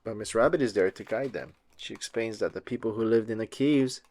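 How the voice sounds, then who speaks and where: A man reading a children's picture book aloud as an expressive storyteller, drawing out words for effect. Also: lowish voice, fairly quick. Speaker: read-aloud host.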